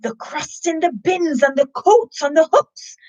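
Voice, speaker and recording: A woman presents a children's story time with a fairly high voice and very lively intonation. She takes her time, moving between chatty asides to listeners and expressive picture-book delivery. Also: measured pace, lo-fi sound. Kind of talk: live chat and expressive picture-book reading.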